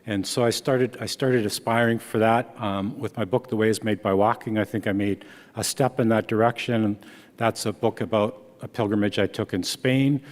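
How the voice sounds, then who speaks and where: A man's voice: on the low side, fairly even intonation, in a large hall. A man in his sixties from Ontario, Canada, whose first language is Dutch, giving a conversational author talk with frequent restarts. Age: 60s. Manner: conversational, halting with repeated restarts. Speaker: author and speaker. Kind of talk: book reading talk.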